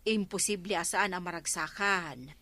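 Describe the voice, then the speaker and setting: Chatty ilocano neighbour lady, radio comedy, bright punchy voice, dry sound. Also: fairly low pitch, moderate pace, no echo. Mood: eager, teasing. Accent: Filipino, Ilocano. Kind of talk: comedy radio drama acting.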